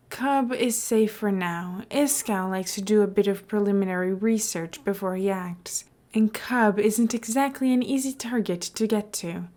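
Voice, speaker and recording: A woman's voice: medium pitch, warm tone, natural voice. Woman playing a breezy, theatrical male mayor. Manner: nonchalant, playful, drawn-out emphatic delivery.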